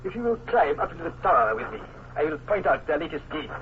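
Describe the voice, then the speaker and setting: An actor playing a stern, fatalistic Prussian colonel in an old radio drama, with precise, formal speech. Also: male voice, a little high, very animated. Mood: grim. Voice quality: precise, formal, deliberate phrasing. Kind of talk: 1950s radio drama acting. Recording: noisy lo-fi old radio recording.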